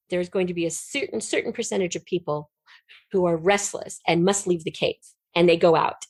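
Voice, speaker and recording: A middle-aged woman with a slightly low voice, recorded dry with little room sound. She talks as an interview guest, explaining her ideas in an earnest, expressive way. Key words get emphasis as she reflects out loud. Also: no echo. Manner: earnest, emphatic, expressive storytelling with illustrative metaphors. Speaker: podcast guest, writer.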